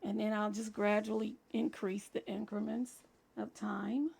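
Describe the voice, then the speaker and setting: A woman with a husky, gravelly voice talks through a craft project step by step. Her tone is dark and mellow, and a cold leaves it scratchy throughout. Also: medium pitch, level tone, measured pace. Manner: chatty, talks through each step as she works. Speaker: crafting channel host. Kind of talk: casual crafting tutorial talk.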